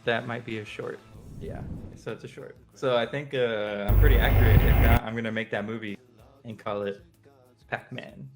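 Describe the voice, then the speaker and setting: A man's voice: medium pitch, fairly even intonation, normal speed, loud music behind the voice. A man co-hosting a casual show, bantering and rambling in informal slang. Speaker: show co-host. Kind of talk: casual banter about video game movies.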